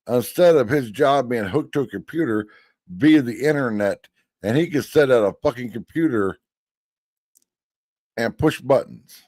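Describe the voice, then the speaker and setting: A man's voice: medium pitch, expressive, a little slow, long pauses, darkish tone, no room sound. A man in his fifties giving blunt, folksy commentary, now and then swearing. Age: 50s. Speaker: commentator reacting to a hearing. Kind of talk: casual commentary over a clip.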